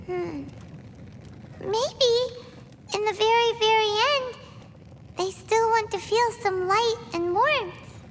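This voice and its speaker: A woman's voice: very high-pitched, background noise, full character voice. A woman voicing a tiny, chatty fairy-like girl companion in a video game, who talks about herself in the third person.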